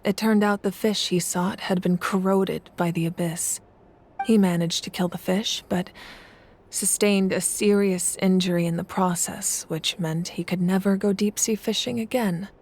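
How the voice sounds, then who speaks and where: An actress playing a confident woman leader who tells stories in a calm, measured way, in a video game. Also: medium pitch, a little hiss or hum, natural voice. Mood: reflective. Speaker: Archon of the land. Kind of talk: game voice acting.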